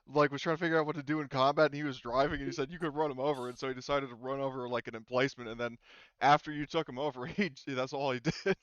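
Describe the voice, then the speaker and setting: Clear-voiced man running a game session. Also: mid-range voice, steady tone, fast talker, a bit bright, no echo, lo-fi sound. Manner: easygoing, laughs readily. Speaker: game master of a tabletop role-playing campaign. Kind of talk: casual group game discussion.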